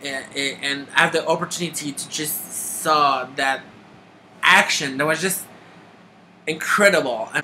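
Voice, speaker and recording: Quebec man, thirties, bright crisp voice, stop-start delivery, faint hiss behind. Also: on the high side, poor audio. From Quebec, Canada. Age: 30s. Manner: halting, many restarts and filler. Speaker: makeup artist auditioning. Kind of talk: casual self-introduction to camera.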